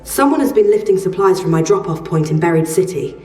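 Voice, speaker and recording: Roomy, low-voiced, guarded woman trader, played by an actress. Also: expressive, fairly quick, darkish tone, lightly acted. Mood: guarded, stern. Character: guarded, exacting woman trader in post-apocalyptic game. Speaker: quest-giving trader. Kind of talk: video game voice acting. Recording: roomy, slightly distant, music behind.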